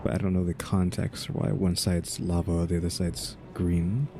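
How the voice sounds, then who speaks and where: Male gamer, gravelly fry, casual musing commentary, laid-back, faint music behind. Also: low voice, little pitch movement, normal speed. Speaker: gamer, video creator.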